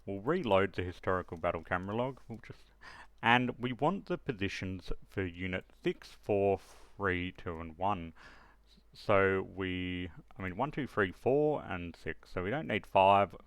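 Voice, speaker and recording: A man with a fairly low voice presents a screen-recorded tutorial. He talks in a casual, informal way, explaining things one step at a time. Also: lively intonation, measured pace, no echo.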